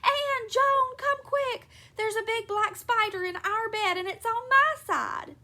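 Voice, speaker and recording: A woman voicing a childish, quarrelsome mother in a storybook, squealing and whining as she yells. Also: sits high, measured pace, full character voice. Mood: frightened squealing. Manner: squealing, yelling, whiny.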